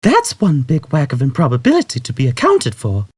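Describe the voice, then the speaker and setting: Man putting on a high, exasperated young woman. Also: wildly swooping pitch, brisk, darkish tone, slightly rough, dry recording. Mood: matter-of-fact. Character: patient, clever, exasperated young woman space traveller. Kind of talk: audiobook character voice.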